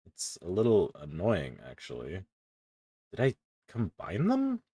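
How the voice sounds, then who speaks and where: Deep-voiced young man, rambling. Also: wildly swooping pitch, a little slow, dark tone, breathy edge. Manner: rambling, self-deprecating, swears casually. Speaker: streamer. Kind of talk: casual commentary while drawing.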